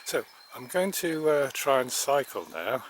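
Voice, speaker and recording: A man's voice: mid-range voice, big pitch swings, a little slow. A man from Wales, vlogging casually as he cycles, rambling with many hesitations but chatty and polite, recorded outdoors on a handheld camera mic. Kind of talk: casual vlog narration. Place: outdoors by a road and in a country park. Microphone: handheld camera mic, partly taped over. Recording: outdoor camera audio with traffic and wind noise.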